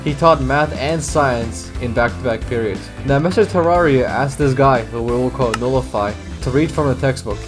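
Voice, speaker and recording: A man's voice: medium pitch, normal speed, few pauses. A young man from Georgia, telling school stories in a casual, edgy, sarcastic way. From Georgia (country). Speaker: YouTuber. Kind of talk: comedic storytelling narration. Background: background music.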